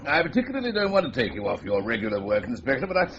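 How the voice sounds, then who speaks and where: A brisk senior police chief, played by a man, lays out a case in a clipped, lively voice. The radio drama recording sounds dull and lo-fi, with a faint hiss. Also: medium pitch.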